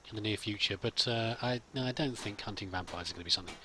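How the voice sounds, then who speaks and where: A man's voice: on the low side, quick pace, noisy background. A man hosting a let's play with casual role-played commentary, chatty and musing to himself.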